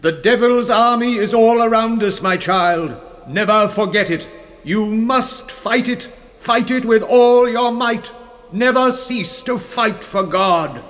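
A man's voice: high-pitched, a bit bright, in a large hall. Stern fanatical preacher father. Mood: fervent, commanding. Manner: slow, sermonising. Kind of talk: 1970s British radio drama acting.

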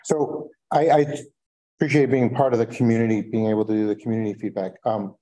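A man's voice: on the low side, level tone, quick pace, warm tone, rough recording. A man on a school board asking questions at a meeting, hesitant with many fillers and restarts.

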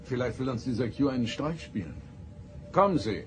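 An actor playing an authoritative, probing starship captain in a sci-fi audio drama, formal, measured and commanding. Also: male voice, medium pitch, very animated, over music, lightly acted. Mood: curious, composed.

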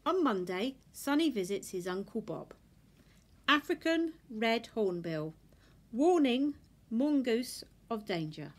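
A woman reads a children's picture book aloud with expressive, deliberate storytelling delivery. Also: on the high side. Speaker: storybook reader. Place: indoors in a furnished room at home.

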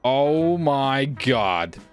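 An excitable man giving giggly gameplay roleplay commentary, often repeating himself. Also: medium pitch, drawn-out words, faint music behind. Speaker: gaming video creator.

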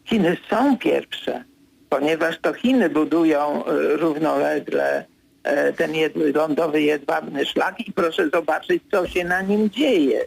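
Gravelly, husky older man, political commentator. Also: a little high, measured pace, low-quality recording. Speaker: political commentator, radio guest. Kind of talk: political analysis in a radio interview. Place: radio studio.

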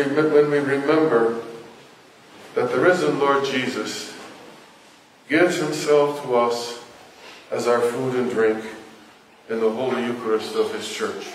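An older man, a priest in his sixties or beyond, speaking solemnly and deliberately in a liturgical, preaching style. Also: mid-range voice. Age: 60s or older. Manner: solemn, measured, deliberate delivery. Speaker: Orthodox parish priest. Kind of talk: liturgical Gospel reading and sermon. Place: church interior, at a lectern before the icon screen. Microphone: distant camera. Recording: echoey church from far off, faint noise.

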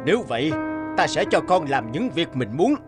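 A stern old fairy-tale king with a high-ish, very animated voice. He scolds imperiously, with music clearly behind him. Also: normal speed. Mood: stern, angry. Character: stern, easily angered old king and father.

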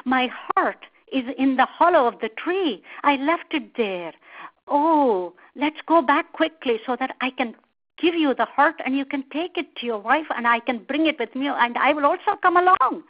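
A woman voicing a friendly, quick-witted male monkey in a storybook tale, with exclamatory, emphatic delivery. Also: high voice, animated, dull audio, voice pushed up. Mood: eager, feigned regret.

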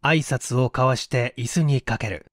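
A man narrating a mystery story in a measured, deliberate storytelling manner. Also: mid-range voice, no echo, studio quality. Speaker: audiobook narrator.

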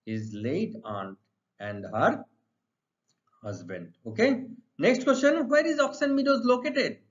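Middle-aged male teacher, Indian accent, highish animated voice, didactic and emphatic, dull lo-fi sound. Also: takes it slowly. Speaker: English teacher. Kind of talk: exam lesson, reading questions and explaining answers. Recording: dull lo-fi recording.

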